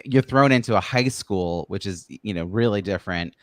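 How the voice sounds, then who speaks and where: Low-voiced man hosting an interview podcast. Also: normal speed, dry recording, studio quality. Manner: outgoing, talkative, builds long questions in repeated phrases. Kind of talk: conversational interviewing.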